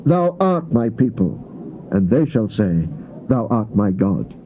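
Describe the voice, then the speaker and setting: A man's voice: fairly low pitch, sing-song, slow. A man giving a solemn, declamatory, theatrical dramatized reading of King James scripture as its narrator. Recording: dull, noisy old cassette tape.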